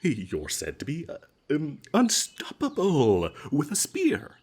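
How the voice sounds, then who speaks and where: Guy acting as a nervous adventurer in a fantasy roleplay, slow n stammery, flattering and dodgy, voice swings up and down a lot. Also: a little high, breathy edge, exaggerated voice. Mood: flustered, ingratiating. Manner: stammering, hesitant, ingratiating, nervous chuckles. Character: smooth-talking, nervous captured adventurer, flattering and evasive. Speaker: voice actor. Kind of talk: comedic fantasy audio roleplay.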